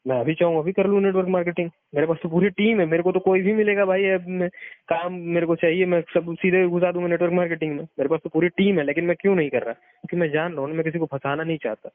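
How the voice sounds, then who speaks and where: फ़ोन कॉल पर ज़ोर देकर समझाता उत्तर भारतीय आदमी. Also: male voice, high-pitched, steady tone, fast talker, no echo. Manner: emphatic, explanatory, repeats points for stress. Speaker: experienced former network marketer giving advice. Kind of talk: casual advisory phone conversation. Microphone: phone call. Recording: muffled narrowband phone call recording.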